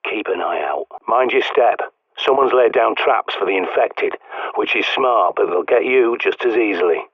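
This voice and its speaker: An actor playing a gruff, bossy middle-aged survivalist in a video game, blunt and sardonic, heard through a radio filter. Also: male voice, on the low side, animated, moderate pace, dry recording. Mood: dry, sardonic. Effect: radio transmission filter. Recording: tinny, band-limited radio with static.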